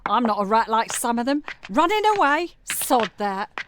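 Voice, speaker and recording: A woman acting a high-voiced feisty Parisian singer. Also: animated, normal speed, background noise, natural voice. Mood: defiant. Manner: earthy, blunt, swearing. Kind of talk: audio drama acting.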